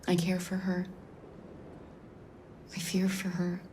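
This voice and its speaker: A woman playing a gentle, protective female android in a cinematic video game, speaking softly and earnestly. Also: fairly low pitch, monotone, takes it slowly, slight background noise. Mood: tender, earnest.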